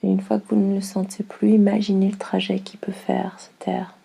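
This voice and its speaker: Gravelly, hushed woman guiding relaxation. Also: medium pitch. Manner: slow, calm, soothing instruction with long pauses. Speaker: yoga nidra guide. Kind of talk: guided relaxation meditation.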